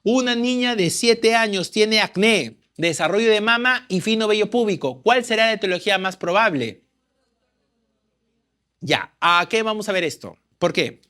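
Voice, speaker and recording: Man, high voice, exam-prep tutor who quizzes, then answers. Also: expressive, normal speed, sharp tone. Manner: quizzing, answers own questions. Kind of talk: lecturing through exam questions.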